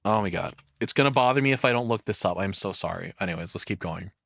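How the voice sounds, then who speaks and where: A man's voice: low voice, fast, gravelly, fairly bright tone. A man giving casual reaction commentary as a streamer, rambling and opinionated, with some swearing.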